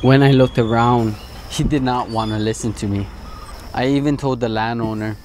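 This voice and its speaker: A man's voice: medium pitch, steady tone, moderate pace, a little mellow, a little hiss or hum. A man in his thirties from the island of Hawaii, narrating his documentary in an earnest way, his voice sometimes catching with emotion. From island of Hawaii, USA. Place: indoor studio set, outdoor forest for interview.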